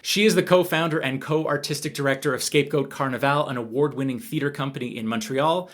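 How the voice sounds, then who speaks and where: A man co-hosting a podcast, introducing guests and putting questions to them. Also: a little high. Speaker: podcast co-host.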